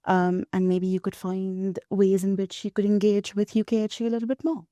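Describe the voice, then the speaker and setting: A woman from India, a guest researcher, talking thoughtfully in a podcast conversation with many pauses and ums. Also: medium pitch, little pitch movement, dark tone, clean tone, no echo. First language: not English.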